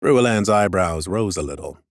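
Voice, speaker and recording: Male audiobook narrator, lowish pitch, dry studio sound, measured storytelling, scripted fantasy narration. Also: expressive, no room sound. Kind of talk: scripted first-person fantasy narration with acted dialogue.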